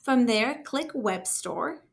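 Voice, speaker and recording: A woman talking through a casual screen-share software tutorial as a trainer, in an informal, enthusiastic and encouraging way. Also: on the high side, lively intonation, slow pace, vocal fry. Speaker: school district technology trainer.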